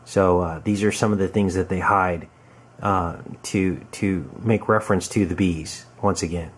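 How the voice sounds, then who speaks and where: A man giving informal, halting conspiracy commentary on a film, explaining as he goes. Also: low-pitched, steady tone, breathy edge. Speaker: video commentator. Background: music.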